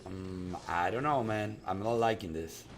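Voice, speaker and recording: A man with a strong Japanese accent casting an esports match in a chatty, emphatic, excitable way. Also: sits low, a little slow, slight background noise. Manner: animated, chatty, emphatic repetition. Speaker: esports caster. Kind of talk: excitable live game commentary and banter.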